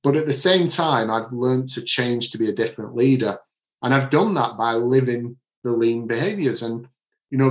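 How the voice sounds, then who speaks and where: Man, Manchester accent, author being interviewed, earnest with frequent restarts, middle pitch, roomy sound. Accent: Manchester English. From Manchester area, England. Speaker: author and leadership coach. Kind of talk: podcast interview answers.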